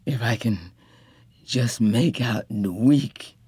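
A woman voicing a sick young man with a gravelly, drawling voice. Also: very deep voice, slow pace, warm tone, dry recording, heavily acted. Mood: weak. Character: easygoing young Southern man, falling sick.

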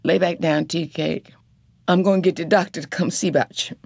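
A woman voicing a tender, anxious young wife with a husky drawl. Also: fairly low pitch, steady tone, brisk, darkish tone, no room sound, voice pushed up.

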